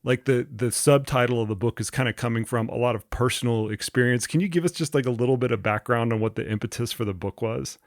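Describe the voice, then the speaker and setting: A man's voice: mid-range voice, fast talker, hardly any pauses, no room sound, studio quality. Casual male podcast host. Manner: relaxed, rambling with many likes and restarts. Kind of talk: casual interview chat.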